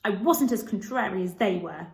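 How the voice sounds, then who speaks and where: A woman's voice: medium pitch, normal speed, creaky, echoey room, natural voice. A woman voicing an earnest, eager and slightly anxious little girl in a children's storybook, with a pleading manner.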